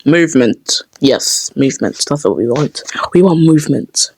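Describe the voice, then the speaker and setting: A woman chatting casually to herself as she works on an amateur dub, halting and playful, often murmuring. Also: a bass voice, lively intonation, darkish tone, slightly rough, poor audio. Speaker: amateur comic dubber. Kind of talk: casual self-talk while editing a dub.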